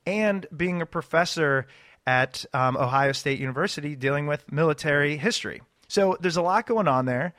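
A man's voice: medium pitch, fairly bright tone, no room sound, clean studio sound. A man hosting a podcast who introduces the guest in a friendly, rambling, informal way. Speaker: podcast host. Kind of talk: casual podcast chat and interview intro.

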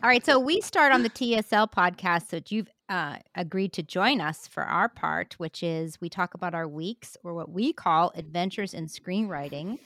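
A woman's voice: on the low side, fairly bright tone, no echo, clean studio sound. A woman co-hosting a podcast, making wry jokes and steering the conversation. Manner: wry, quick to joke. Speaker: podcast co-host. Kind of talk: casual podcast chat.

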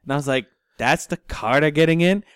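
A man's voice: on the high side, brisk, smooth, no room sound, clean studio sound. A young man co-hosting a casual sports podcast, joking and laughing through slangy stories. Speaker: podcast co-host.

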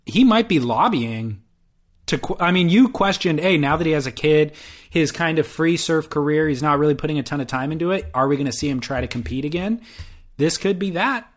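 A man's voice: on the high side, lively intonation, fairly quick. A man co-hosting a sports podcast, chatting casually in slang and giving blunt opinions. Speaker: co-host of a sports talk podcast. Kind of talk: casual opinionated chat about pro surfing.

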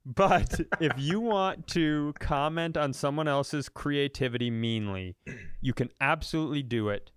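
A man's voice: fairly high pitch, unhurried, a bit bright, smooth, no room sound. Man, comedian host, clean resonant voice, close dry sound, casual rambling riffs, profane. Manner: rambling, riffing, profane storytelling. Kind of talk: casual comedic podcast banter.

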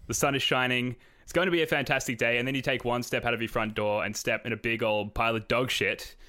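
A man's voice: mid-range voice, steady tone, fast, bright, forceful tone. A man hosting a casual sports podcast, introducing his co-hosts and topics with wry jokes. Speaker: podcast host.

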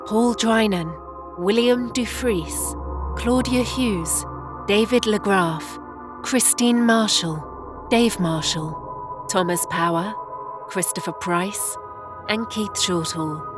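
A woman's voice: mid-range voice, slow, over music. A woman reading scripted closing credits as a radio announcer.

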